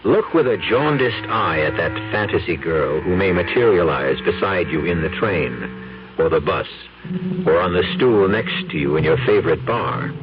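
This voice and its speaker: A man hosting a 1970s radio mystery show, with ominous, dramatic narration and slow emphasis. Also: deep voice, animated. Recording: dull vintage radio broadcast with music.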